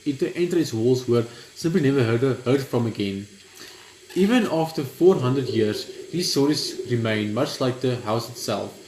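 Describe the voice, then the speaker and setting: A young man giving casual gameplay commentary and reading aloud, halting and stumbling over words as he goes. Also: medium pitch, a little mellow. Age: young adult. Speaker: gaming video host. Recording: roomy echo, clear background noise.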